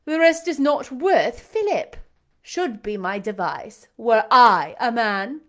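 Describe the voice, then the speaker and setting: A woman's voice: on the high side, lively intonation, slow pace, fairly bright tone. A woman reading aloud, voicing a proud, scornful young aristocratic lady who talks in an imperious, showy way. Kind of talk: audiobook character reading.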